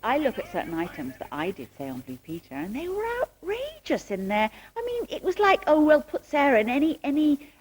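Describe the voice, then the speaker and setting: A woman's voice: sits high, wildly swooping pitch, a little fast, a crowd in the background. A woman reminiscing animatedly as an interviewee. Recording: noisy old tape.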